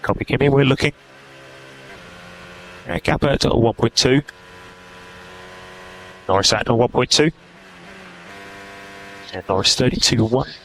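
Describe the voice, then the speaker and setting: A man's voice: mid-range voice, measured pace, gravelly, long pauses, fairly bright tone. A man working as a race engineer, giving clipped, procedural instructions over team radio. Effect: team radio transmission. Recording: noisy team radio feed.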